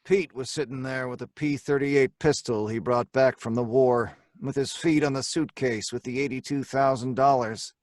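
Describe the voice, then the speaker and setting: A man's voice: mid-range voice, steady tone, moderate pace, hardly any pauses, darkish tone, dry recording, clean studio sound, close to an everyday voice. An actor playing a terse criminal who narrates his own story in laconic, hardboiled radio drama style. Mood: matter-of-fact. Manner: laconic, plain-spoken storytelling.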